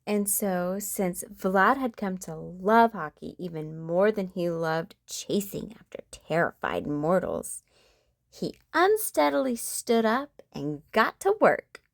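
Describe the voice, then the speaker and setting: A woman reads a children's picture book aloud at an unhurried pace, her pitch rising and falling in a lively storytelling way. The sound is dry and close. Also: creaky, no room sound. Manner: expressive, sing-song storytelling.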